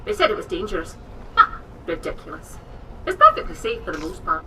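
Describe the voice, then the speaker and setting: A very bright, eccentric English inventor. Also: female voice, mid-range voice, wildly swooping pitch, heavily acted. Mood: breezy, teasing. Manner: theatrical, brisk, condescending. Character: eccentric, haughty woman inventor, breezily overconfident. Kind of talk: video game cutscene acting. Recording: distant from mic, faint noise.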